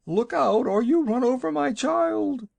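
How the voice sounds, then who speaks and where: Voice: sits high, expressive, a little slow, gravelly, a little mellow, no echo, pitched above the performer's own voice, full character voice. A reader voicing a panicky village woman who wails and sobs over tiny mishaps. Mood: frantic. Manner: wailing, sobbing, frantic.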